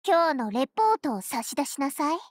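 A woman's voice: sits high, very animated, fairly quick, dry recording, studio quality. A woman voicing a haughty, girlish young fleet leader in an anime game, pompous and commanding but easily flustered. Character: haughty young warship girl, self-styled charismatic fleet leader. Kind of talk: Japanese anime game character voice lines.

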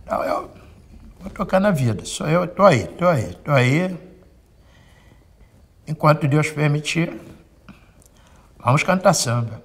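A man in his late sixties, a samba singer, telling his story in an expressive way. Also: a little high, measured pace, vocal fry, pauses often. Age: 60s.

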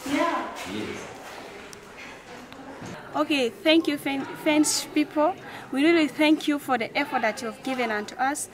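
A young woman from South Sudan with a South Sudanese accent, presenting a school tour in earnest, halting phrases on noisy location audio. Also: high-pitched. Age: young adult. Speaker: student tour guide. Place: school grounds and rooms, outdoors and indoors.

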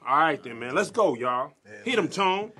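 An American man with a high, forceful voice plays questioner in a comedy sketch. He is slangy and mock-stern, with very lively pitch swings. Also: normal speed. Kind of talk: comic group banter.

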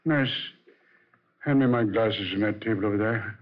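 An actor playing a fussy, gruff, muttering old rich man in a 1940s radio drama. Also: on the low side, normal speed, lightly acted. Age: older. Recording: dull, muffled 1940s radio recording.

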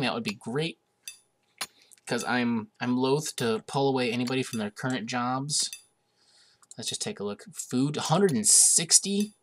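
A man's voice: medium pitch, a bit bright, a little hiss or hum. Man with a cold, chatting over gameplay. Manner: chatty, hesitant with many uhs, amused. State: getting over a cold, sniffly. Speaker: gaming video host. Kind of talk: casual let's-play commentary.

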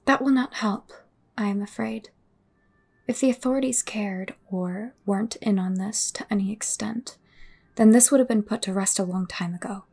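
A woman playing a cryptic, grave fortune teller in an audio roleplay, speaking breathily in a measured, ominous way. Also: mid-range voice, gravelly, a little mellow, slightly rough. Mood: grave, knowing.

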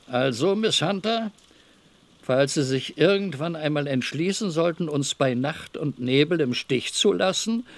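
A man's voice: fairly high pitch, gravelly, fairly bright tone, no room sound, exaggerated voice. A man playing a menacing master of a country house in an audio drama, with a falsely polite, threatening manner. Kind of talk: German audio drama acting.

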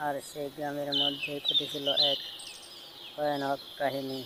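A man's voice: fairly high pitch, monotone, measured pace, heavy background noise. A man with a Bangladeshi accent narrating a scripted horror story. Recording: loud outdoor nature sounds behind voice.

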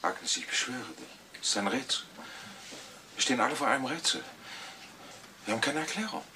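An actor playing a nervous, evasive husband in a German crime radio play, pleading and defensive. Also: male voice, medium pitch, big pitch swings, a little fast, background noise, close to an everyday voice. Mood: anxious.

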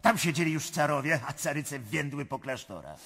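An actor playing a bold, mocking courtier in a radio drama, with theatrical, declamatory delivery. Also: male voice, on the high side, lively intonation, normal speed, vocal fry, a bit bright, exaggerated voice. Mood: goading, mocking. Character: bold, mocking courtier goading his empress.

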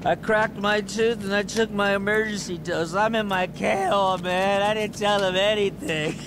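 Very high-pitched, drugged-out panicky lab nerd. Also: male voice, steady tone, moderate pace, background noise. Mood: dazed, slurry. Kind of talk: video game cutscene acting.

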